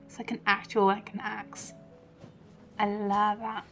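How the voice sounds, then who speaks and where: A woman's voice: mid-range voice, completely flat delivery, unhurried. A woman game streamer giving casual, chatty, giggly commentary as she plays. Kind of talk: casual live gameplay commentary. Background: game music.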